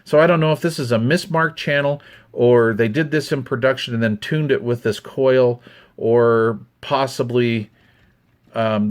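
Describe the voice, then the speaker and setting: A man in his fifties with a Western American accent, chatting in a rambling, conversational way as he shows off a hobby find. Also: mid-range voice. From United States, Utah. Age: 50s. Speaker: hobbyist video host. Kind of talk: casual hands-on show-and-tell. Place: indoors at a wooden desk.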